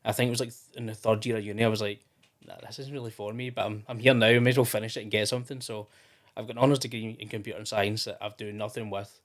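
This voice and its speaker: A man's voice: on the low side, steady tone, quick pace. A Scottish man in his thirties, a podcast guest in casual, rambling conversation with many restarts. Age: 30s. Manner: chatty and rambling, with frequent restarts and fillers. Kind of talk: casual interview chat.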